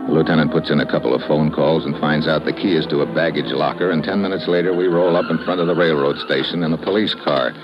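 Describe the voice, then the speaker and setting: Very deep hardboiled agent, old radio. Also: male voice, fast, few pauses. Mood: brisk, businesslike. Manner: clipped, slangy, deadpan. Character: hardboiled American male secret agent, wry and tough. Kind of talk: old-time radio drama acting with first-person narration. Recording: dull old radio recording, music behind.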